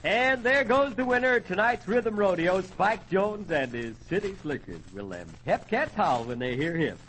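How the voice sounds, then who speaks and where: A man's voice: high voice, very animated, normal speed, a bit bright, soft background music. A man hosting a 1940s radio variety show, announcing and reading ads with folksy, joking showman patter on an old, noisy recording.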